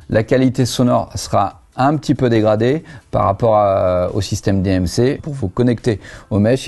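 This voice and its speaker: A man's voice: mid-range voice, dark tone. A man giving a casual, chatty product review, explaining things in colloquial language. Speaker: motorcycle gear reviewer, host.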